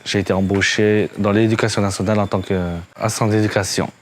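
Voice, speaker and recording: A man giving a personal testimonial, piecing his phrases together haltingly. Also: lowish voice, little pitch movement. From Hmong family, moved to French Guiana at fifteen. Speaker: programme participant, education assistant.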